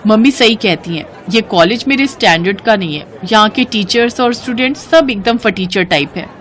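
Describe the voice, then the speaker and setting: A woman's voice: mid-range voice, a little fast, a bit bright, a faint crowd, lightly acted. A woman voicing a haughty, snobbish rich young woman student in a cartoon story, speaking disdainfully with English words mixed in. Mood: boastful, scornful. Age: young adult.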